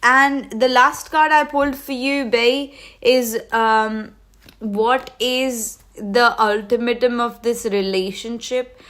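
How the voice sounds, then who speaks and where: A woman giving a live tarot reading at an unhurried, slow pace. She rambles, restarts her sentences and leans on fillers, with a cold starting to catch her voice. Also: a little high, fairly even intonation, a bit bright. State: coming down with a cold. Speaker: tarot reader hosting a livestream.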